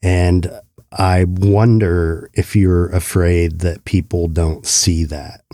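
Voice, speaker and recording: A man hosting an interview, asking calm, thoughtful questions. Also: sits low, slow, no echo, clean studio sound. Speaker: podcast host.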